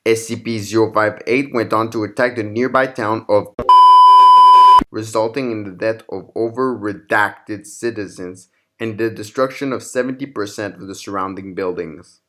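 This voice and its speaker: A man's voice: on the low side, normal speed, a bit bright. A man hosting and narrating scripted horror fiction in the form of documents and logs. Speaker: narrator and channel host.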